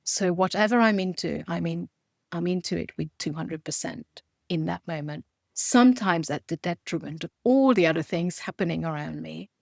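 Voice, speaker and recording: A woman's voice: on the low side, moderate pace, gravelly, darkish tone, no echo, clean studio sound. A woman in her forties from Romania and Hungary, a native Hungarian speaker, talking animatedly as an interview guest, often restarting her sentences. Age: 40s. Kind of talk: conversational interview.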